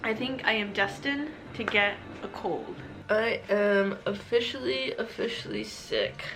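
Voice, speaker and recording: A woman's voice: mid-range voice, big pitch swings, measured pace. A young woman in a casual vlog, chatting in a rambling way while sounding congested from a cold. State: congested, sick voice. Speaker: vlogger.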